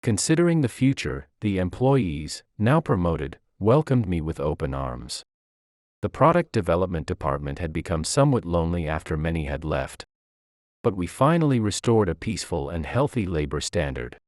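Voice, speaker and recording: A man's voice: deep voice, very animated, normal speed, darkish tone, no room sound, clean studio sound. A man reading a scripted first-person story as narrator.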